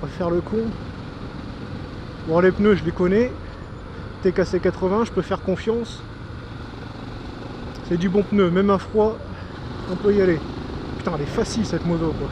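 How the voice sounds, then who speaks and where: A man's voice: sits high, moderate pace, long pauses. A man giving enthusiastic, informal vlog commentary, thinking aloud as he rides a motorcycle. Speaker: motovlogger. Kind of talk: casual vlog commentary while riding a motorcycle. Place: outdoors on a moving motorcycle on country roads. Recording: noisy outdoor recording with engine and wind.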